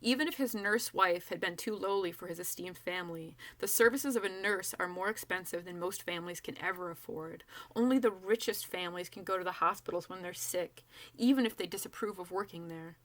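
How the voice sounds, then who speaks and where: A woman's voice: lowish voice, brisk. A woman reading a first-person novel aloud as an author, narrating expressively and acting out the dialogue. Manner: animated, acts out dialogue.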